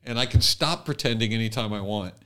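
A man's voice: on the low side, fairly quick, fairly bright tone. A man co-hosting a conversational podcast, thinking aloud and repeating phrases for emphasis. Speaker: podcast co-host. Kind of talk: conversational commentary.

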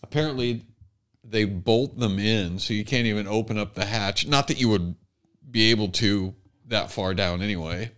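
A man's voice: on the low side, moderate pace, a bit bright. A man co-hosting a casual talk show, speaking in a conversational, off-the-cuff way. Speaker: podcast co-host.

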